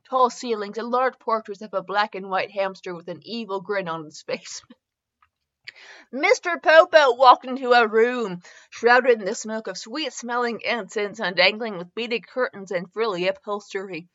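A woman's voice: medium pitch, a bit bright. A woman giving a comedic dramatic reading of fan fiction as a podcast host, giggly and tripping over words. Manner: giggly, stumbles over words and breaks off to comment. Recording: slightly dull, lo-fi recording.

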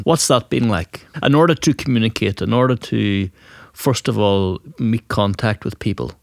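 A man interviewing, asking long, measured questions. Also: lowish voice, gravelly, no echo, studio quality.

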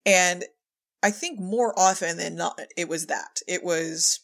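Woman chatting on a podcast, kinda low voice, lots of fry, casual. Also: unhurried. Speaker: audio drama director and podcast guest. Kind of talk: casual conversational interview.

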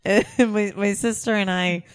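A woman's voice: mid-range voice, steady tone, a little slow, clean tone, no room sound, studio quality. A young woman comedian, a guest on a podcast, chatting casually with many restarts and "like"s and frequent laughs. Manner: rambling, full of restarts and "like", laughs often.

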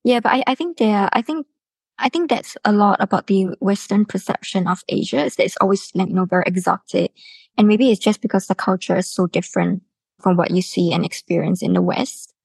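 A woman's voice: medium pitch, fairly quick, creaky, darkish tone, husky, dry recording. A woman from Singapore speaking casually as a guest in an interview conversation. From Singapore, born in Hong Kong. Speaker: podcast guest, art collector and publisher.

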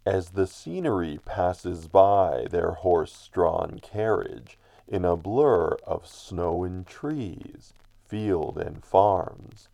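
A man's voice: sits low, vocal fry, mellow, no room sound. A man narrating a crime radio drama in an ominous, theatrical manner. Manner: ominous, theatrical, addresses characters directly.

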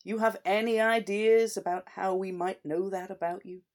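A man voicing a big, calm middle-aged sheriff who speaks in a slow, measured drawl with quiet menace. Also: sits high, pitched above the performer's own voice, natural voice.

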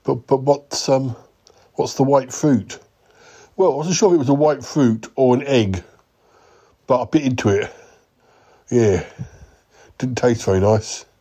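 A man voicing a clueless male yeti uncle who talks slowly and hesitantly. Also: warm tone, husky. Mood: bemused. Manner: slow, hesitant, wandering speech with a higher voice.

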